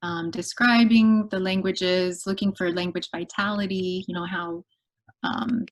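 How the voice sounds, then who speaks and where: Measured woman lecturing over a video call. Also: lowish voice, steady tone. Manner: thoughtful, measured, with frequent ums. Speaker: guest lecturer. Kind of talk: academic webinar lecture.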